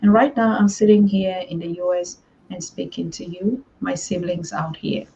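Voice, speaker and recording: A woman's voice: on the low side, steady tone, moderate pace, creaky, dark tone, highs cut off, slight background noise. A woman from southern Cameroon, a guest on an online interview, who speaks thoughtfully with many ums and uhs.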